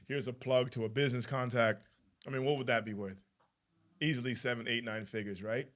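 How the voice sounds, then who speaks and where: A man speaking thoughtfully and in measured terms as a panelist in a live discussion. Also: mid-range voice, a bit bright.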